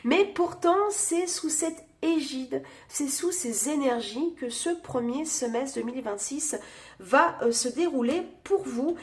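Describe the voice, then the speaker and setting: High-voiced woman with a stuffy nose. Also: animated, takes it slowly. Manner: chatty, many fillers, addresses viewers directly. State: has a cold, nasal voice. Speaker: tarot reader. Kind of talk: casual tarot reading.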